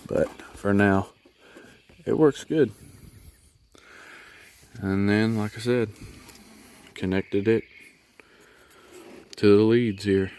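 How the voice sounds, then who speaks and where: A man giving a casual, unscripted how-to vlog in a laid-back, folksy, halting way. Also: on the low side, a little mellow, smooth. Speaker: hobbyist vlogger showing his truck radio install. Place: in and around a pickup truck in a driveway.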